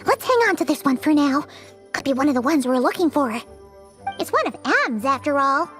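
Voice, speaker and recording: Squeaky, tiny fairy companion. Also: female voice, lively intonation, fairly quick, over music. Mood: puzzled. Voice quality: squeaky, childlike. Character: tiny chirpy female fairy companion, childlike and excitable. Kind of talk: scripted video game dialogue.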